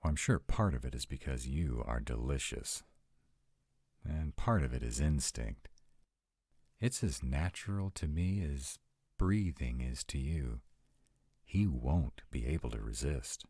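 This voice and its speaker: A man's voice: extremely low pitch, mellow, breathy edge, no echo, clean studio sound, full character voice. A man playing a sarcastic, anxious vampire of nearly two hundred in an intimate, conversational roleplay audio. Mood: worried, intimate. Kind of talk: intimate one-sided roleplay audio acting.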